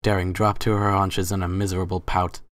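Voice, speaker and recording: Very deep-voiced man narrating a story. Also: level tone, a little fast, no room sound.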